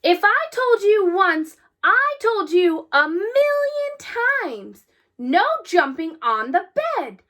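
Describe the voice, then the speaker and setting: Casting: woman as exasperated bedtime-story father, emphatic scolding, raised pitch, animated swoops. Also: slow pace, exaggerated voice. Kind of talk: children's storybook read-aloud.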